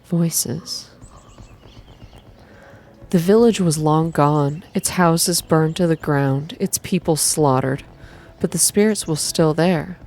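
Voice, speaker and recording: A woman reading a story aloud in a soft-spoken, measured, atmospheric ASMR style. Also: deep voice. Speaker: reader of a video game story.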